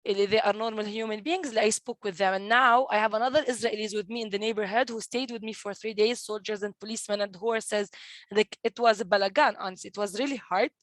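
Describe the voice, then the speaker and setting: A woman's voice: mid-range voice, steady tone, brisk, a bit bright, no echo. A young woman in her twenties from East Jerusalem, speaking English with a Palestinian Arabic accent and telling her story earnestly, often restarting and repeating words on a video call. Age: 20s. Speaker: guest, community manager at a peace group. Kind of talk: personal storytelling in a panel talk.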